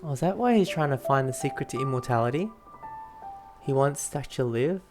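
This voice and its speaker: Casual game streamer reacting, mid-low voice. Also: lively intonation, brisk, faint music behind. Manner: informal, exclamatory.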